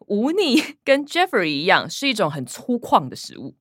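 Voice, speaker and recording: An actress with a Taiwanese Mandarin accent voicing a sarcastic, laughing young adult daughter in a radio drama. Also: female voice, mid-range voice, wildly swooping pitch, dry recording, studio quality. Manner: sarcastic, laughing, quick retorts.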